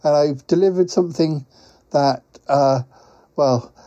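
Casting: male performer as a fussy, polite duck courier for comedy audio; highish, hesitant, quack-punctuated, slightly muffled recording. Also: unhurried, mellow, natural voice. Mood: fussy, chattering. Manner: hesitant, rambling, quacks as a verbal tic. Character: flustered, polite talking duck courier, male, pie-stuffed traveller. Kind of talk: comic audio skit for a podcast.

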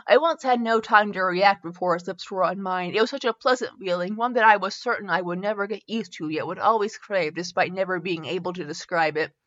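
A woman's voice: mid-range voice, brisk, hardly any pauses, a bit bright, pitched below the performer's own voice, lightly acted. Young male writer played by a woman, earnest, wistful narrator of a tragic love story. Dramatic reading, lo-fi sound. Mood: tender, dreamy. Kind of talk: dramatic fan fiction reading.

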